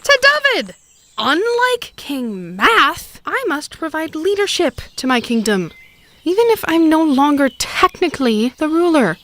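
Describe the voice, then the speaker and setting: A woman playing a high-voiced, bossy queen. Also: big pitch swings, a little slow, fairly bright tone, a little hiss or hum, heavily acted. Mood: haughty, exasperated. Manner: commanding, alarmist, haughty. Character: bossy, exasperated queen taking charge. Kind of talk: stage-style comic audio drama acting.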